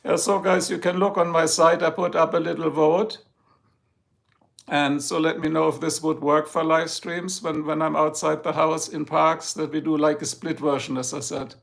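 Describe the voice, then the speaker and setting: A man's voice: fairly high pitch, little pitch movement, normal speed, gravelly. An older man from Germany with a German accent, hosting a rambling, jokey live stream chat from home and talking to his viewers by name. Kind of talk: casual chat. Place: home, in Ohio.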